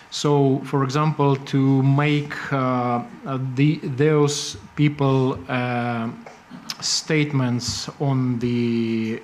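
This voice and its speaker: Male panelist, slow and halting, mid-range voice, faint noise behind. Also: medium pitch. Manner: halting, many fillers and restarts. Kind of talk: panel discussion.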